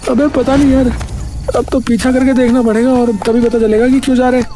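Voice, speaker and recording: A woman's voice: fairly high pitch, flat delivery, fairly quick, dark tone, lightly acted. A woman voicing an uneasy young villager friend in cartoon story dialogue, with colloquial, repetitive, buddy-like talk. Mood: uncertain. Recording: faint background music.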